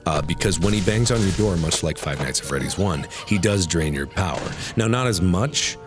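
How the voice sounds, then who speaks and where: Sick guy w raspy low voice explaining game strats, music going behind him. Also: animated, moderate pace. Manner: talkative, explains step by step with fillers. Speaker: gaming video creator. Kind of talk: casual gameplay commentary.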